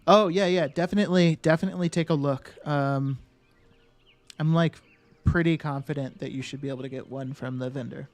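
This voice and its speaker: A man's voice: fairly high pitch, steady tone, brisk. A man live-streaming a game, chatting casually with viewers. He thinks aloud and answers chat with lots of fillers and restarts.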